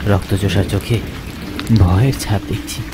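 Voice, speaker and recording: A man's voice: on the low side, fairly even intonation, moderate pace. A man narrating a horror cartoon story in the first person, dramatic and frightened, recorded with background noise. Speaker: narrator and voice actor.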